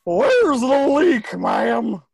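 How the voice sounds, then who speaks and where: A performer voicing a dim-witted, goofy male cartoon starfish sidekick with a husky, exclamatory delivery at a table read. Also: high-pitched, huge pitch swings, drawn-out words, exaggerated voice. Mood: dazed disgust.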